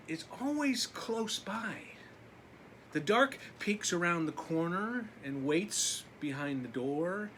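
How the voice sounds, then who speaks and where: Slow, animated man reading a storybook. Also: high voice, a little hiss or hum. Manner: deliberate, dramatic pauses. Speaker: children's story reader, teacher. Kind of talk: expressive read-aloud for young children.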